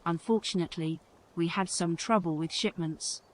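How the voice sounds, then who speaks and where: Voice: mid-range voice, normal speed, lightly acted. An actor playing a courteous, helpful alchemist who keeps an apothecary in an audio game. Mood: welcoming. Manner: polite, helpful. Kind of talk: audio game character acting.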